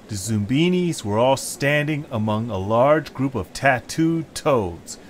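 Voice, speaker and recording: A man's voice: mid-range voice, slight background noise. A man narrating a scripted adventure story as the narrator.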